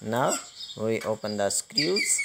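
Male repair tutorial host, slow halting delivery, step-by-step explanations, clearly noisy recording. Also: on the low side, big pitch swings. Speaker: host of electronics repair channel. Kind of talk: hands-on repair tutorial narration.

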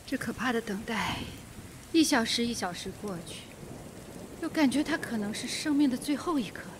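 A woman's voice: on the high side, animated, normal speed, noisy background, close to an everyday voice. A woman playing an anxious but strong-willed young lady in a Mandarin radio mystery, tense and pointed.

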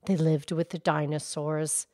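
A woman voicing a calm, knowledgeable grown-up cow puppet, speaking in a soft, measured, teacher-like way in a children's puppet show. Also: sits low, no echo, clean studio sound. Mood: calm, informative.

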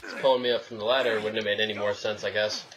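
A man's voice: lowish voice, flat delivery, quick pace. A young man giving casual, wry gameplay commentary, swearing and talking to the game's characters. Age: young adult. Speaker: gamer, let's play host. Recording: noisy, game sound behind voice.

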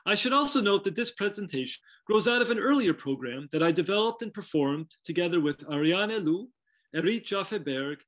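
A man's voice: sits high, fairly bright tone. A middle-aged man presenting a scripted, lightly humorous lecture over a video call from a home study.

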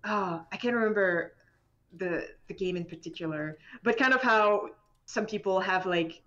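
A woman game developer chatting casually as an interview guest, rambling in an animated, giggly, hesitant way. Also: mid-range voice, normal speed, highs cut off. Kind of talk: casual spoken interview chat.

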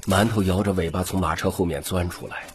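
一名男性有声书播讲者，以戏剧化的方式照稿讲述故事。. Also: male voice, sits low, fairly even intonation, a little fast, heavy background noise. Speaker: audiobook narrator. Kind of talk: scripted dramatic story narration.

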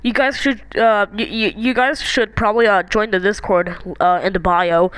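A woman giving a casual, halting sign-off as an amateur voice-over creator. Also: mid-range voice, fairly even intonation, a bit bright, clean tone, no room sound, poor audio. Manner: halting, informal.

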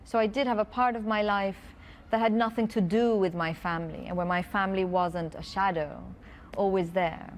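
A woman in her thirties from Pakistan, an author reading from her book and answering questions on a festival stage, earnest and measured. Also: mid-range voice, steady tone, slight background noise. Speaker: author guest. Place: festival stage before a large audience.

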